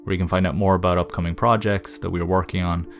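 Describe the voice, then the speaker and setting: A man's voice: low voice, flat delivery, fast talker. A man delivering a scripted podcast sign-off as announcer. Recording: guitar music behind the voice.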